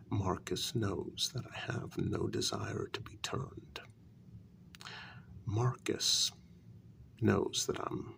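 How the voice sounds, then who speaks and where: A man playing a vampire queen's devoted, aging human consort in a soft-spoken ASMR role-play, speaking slowly and deliberately in a breathy half-whisper. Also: low-pitched, heavily acted. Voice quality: breathy, creaky. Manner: slow, deliberate, half-whispered, with lingering pauses.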